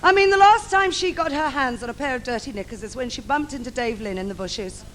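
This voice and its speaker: A woman's voice: sits high, a little fast, fairly bright tone. An actress playing a cheerful, cheeky young principal boy in an adult stage pantomime, with theatrical, saucy delivery to the audience. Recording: noisy live theatre stage recording.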